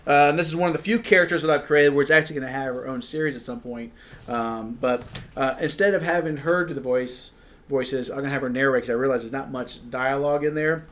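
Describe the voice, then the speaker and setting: Fast talking guy, author hosting his own live story read, laid back, lots of laughing. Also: mid-range voice, a bit bright. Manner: chatty, laughs often, restarts sentences. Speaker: author and podcast host. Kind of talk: casual chat introducing a live reading.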